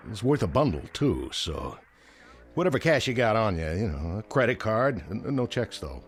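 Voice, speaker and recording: Lively, slangy American bartender. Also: male voice, on the low side, moderate pace, husky, full character voice. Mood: nervous, placating. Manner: folksy, slangy, placating chatter. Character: wisecracking, uneasy big-city bartender trying to placate danger. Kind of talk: radio drama acting. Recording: music bed behind.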